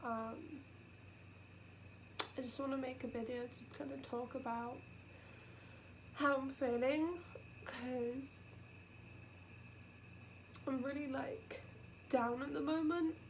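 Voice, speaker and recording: A young woman speaks into her camera at home, stuffy and sniffly from a cold, and close to tears. Her voice sits a little high, and she talks softly, pausing often and trailing off. A faint hiss runs under the recording. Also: fairly even intonation. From United Kingdom. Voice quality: sniffly, nasal. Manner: halting and soft-spoken, with many pauses and fillers. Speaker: vlogger. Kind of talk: emotional personal vlog.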